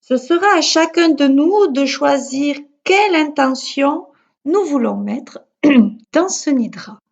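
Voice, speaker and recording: Slow-paced woman guiding relaxation, slightly muffled. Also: high-pitched, gravelly. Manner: calm, measured, soothing instruction with pauses. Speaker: yoga teacher. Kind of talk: guided yoga nidra relaxation instruction.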